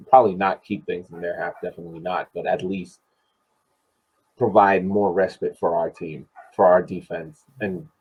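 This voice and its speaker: A narrow, phone-like line carries a man's low, rather husky voice. He gives casual sports commentary as a panelist, haltingly and deliberately, thinking aloud. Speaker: podcast panelist. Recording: tinny, muffled phone-like line.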